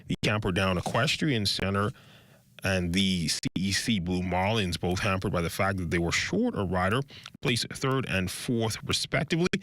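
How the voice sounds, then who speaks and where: A man reading scripted sports news as a television presenter, in measured, formal phrasing. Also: on the low side, fairly bright tone, dry recording.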